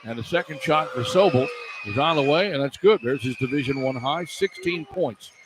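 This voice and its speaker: A man with a fairly high voice does live radio basketball play-by-play. He describes the action in clipped phrases, ready to rise with excitement. Arena noise sits behind him. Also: normal speed. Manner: clipped running descriptions, rising to excited calls. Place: indoor arena at a live game.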